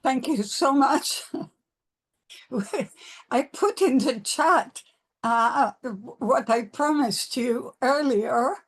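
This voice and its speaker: Slow, halting woman moderator. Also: high-pitched. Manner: slow, halting, many fillers. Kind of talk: moderating a webinar panel. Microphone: video call.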